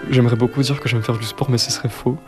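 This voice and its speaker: A young man from Poland talking about his music in a language that is not his first. He speaks softly and haltingly, restarting phrases often, in a very even tone. Faint music sits behind him. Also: medium pitch. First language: Polish. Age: 20s. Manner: soft-spoken, hesitant, many fillers and restarts. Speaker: cellist interviewee. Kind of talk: interview answers.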